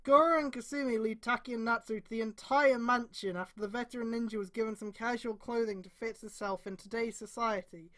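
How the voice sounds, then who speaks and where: A woman's voice: a little high, a little fast, hardly any pauses, fairly bright tone. A woman streamer reading fan fiction aloud in a mocking, theatrical way, with exasperated, sweary asides. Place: indoors on a live stream.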